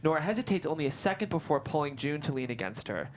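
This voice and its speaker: A woman reading stage directions as scripted narration, with expressive delivery. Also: deep voice, fast, a little hiss or hum. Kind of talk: scripted narration at a table read.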